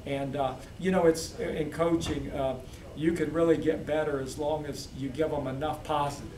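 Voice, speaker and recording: A man who is a head football coach, answering postgame questions in a steady, measured way with many fillers. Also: on the high side. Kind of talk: postgame press interview. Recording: echoey, distant mic, crowd noise.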